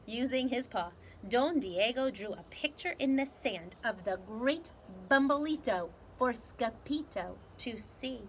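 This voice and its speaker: A woman reading a children's story aloud in an animated, dramatic way. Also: a little high, slight background noise. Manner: animated, dramatic delivery with measured phrasing. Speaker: storybook reader.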